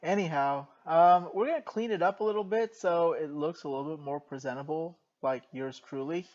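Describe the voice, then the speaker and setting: A man teaching a math lesson in a soft voice, working through a problem step by step and slipping in dry jokes. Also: fairly high pitch, moderate pace, dull audio. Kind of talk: jokey recorded math lesson.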